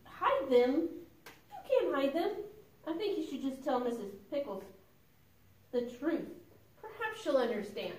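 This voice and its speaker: A woman's voice: high voice, sing-song, a little slow, long pauses, a little mellow. A woman voicing a sensible young girl puppet in a children's church show, earnest, hesitant and a little dramatic. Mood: alarmed. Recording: echoey room, distant mic.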